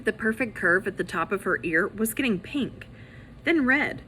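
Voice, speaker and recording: Woman, bright crisp delivery, expressive storybook read-aloud, animated teacher manner, faint background noise. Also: medium pitch, moderate pace. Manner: animated, acts out characters' lines.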